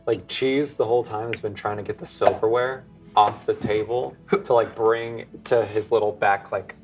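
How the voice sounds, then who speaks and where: A man's voice: on the low side, fairly even intonation, moderate pace, over music. A man playing a tabletop game, describing his character in a casual improvised way.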